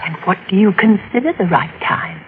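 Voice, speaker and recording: An actress playing a scheming, unhinged woman murderer in a 1940s radio drama, speaking in a breathy, theatrical way. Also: medium pitch, lively intonation, moderate pace, close to an everyday voice. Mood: seductive. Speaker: accused widow. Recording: tinny old radio broadcast.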